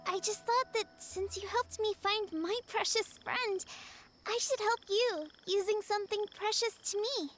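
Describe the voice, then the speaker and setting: A woman's voice: very high voice, slight background noise. A woman playing a sweet, earnest little child in a video game, speaking in a careful, innocent way. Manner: slow, careful, childlike delivery.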